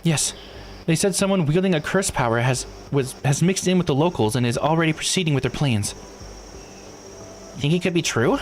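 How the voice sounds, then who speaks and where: A man's voice: on the high side, expressive, moderate pace, soft background music, pitched above the performer's own voice, natural voice. A man voicing an earnest, worried young islander in a visual novel, polite and questioning. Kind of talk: visual novel read-aloud voice acting.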